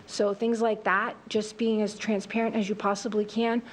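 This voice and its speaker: A woman's voice: mid-range voice, level tone, normal speed, slight background noise. A woman whose first language is Greek, answering a school board committee in a meeting room with earnest, thoughtful speech full of fillers. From Greece. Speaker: assistant principal candidate.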